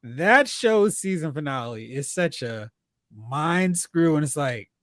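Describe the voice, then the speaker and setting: A man hosting a casual chat about TV and film, telling stories in an animated, exclamatory way. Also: a little high, slow pace, no room sound, clean studio sound. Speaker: podcast host.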